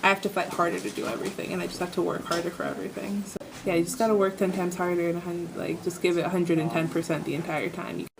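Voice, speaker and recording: A woman's voice: lowish voice, flat delivery, quick pace, creaky, background noise. A young woman from the Dominican Republic, an interviewee speaking with emphatic determination. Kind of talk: personal testimony. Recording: loud crowd behind.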